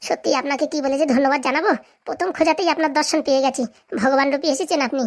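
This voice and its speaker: A woman's voice: high-pitched, fairly even intonation, racing. A woman voicing an earnest, eager visitor out for revenge in a comedy cartoon, speaking colloquially and deferentially. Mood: grateful, eager. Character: earnest, vengeful visitor seeking evidence.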